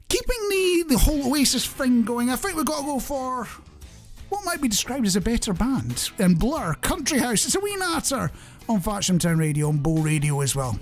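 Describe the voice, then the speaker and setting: A man hosting a light-hearted radio show, chatting in a jokey, self-deprecating way straight to the listener. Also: high voice. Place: radio studio.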